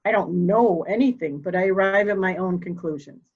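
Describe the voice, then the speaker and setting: A woman playing a proud, formal, candid elderly mother in a murder mystery reading, speaking deliberately. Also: fairly low pitch, muffled recording, lightly acted. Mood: composed, candid. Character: proud, cold old mother, candid and slightly deaf.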